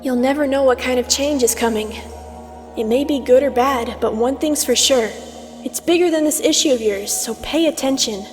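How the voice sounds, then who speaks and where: A woman's voice: sits high, moderate pace, close to an everyday voice. A woman playing a condescending, teasing mentor, an immortal aspect of Twilight in a comic dub, lecturing in a theatrical, sardonic way. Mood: stern. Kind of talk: scripted fan comic dub acting. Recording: long reverb over music.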